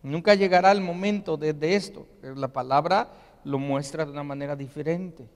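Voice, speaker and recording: A man delivers an earnest sermon, and his voice sits fairly high. He keeps asking rhetorical questions as he preaches. His voice rings out with the long reverb of a large church hall. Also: moderate pace. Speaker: pastor. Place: church sanctuary.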